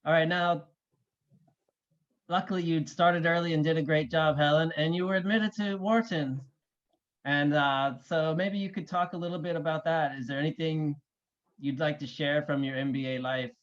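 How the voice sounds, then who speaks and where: A man's voice: on the high side, steady tone, moderate pace, dull audio. A man hosting an interview, upbeat and encouraging as he puts questions to his guests. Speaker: host.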